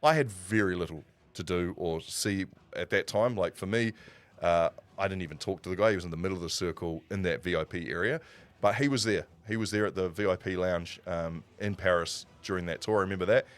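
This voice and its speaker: A man with a New Zealand accent telling stories casually as a livestream host, in an animated raconteur's way with dramatic pauses. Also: low voice. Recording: music playing behind the voice. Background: background music.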